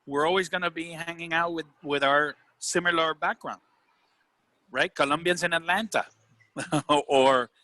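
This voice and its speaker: A man's voice: a little high, unhurried, pushed and bright. A man with a Puerto Rican accent talking earnestly in a casual group discussion, often checking that listeners agree. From Puerto Rico. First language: Spanish. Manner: earnest, seeks agreement with "right?" and "you know". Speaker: business executive leading a group discussion. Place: video call.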